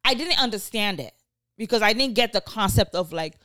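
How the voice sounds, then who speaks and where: A woman's voice: mid-range voice, animated, fairly quick, pushed and bright, no room sound. A young woman from Nigeria co-hosting a podcast, talking casually, bluntly and animatedly, and swearing freely. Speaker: podcast co-host. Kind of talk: casual chat.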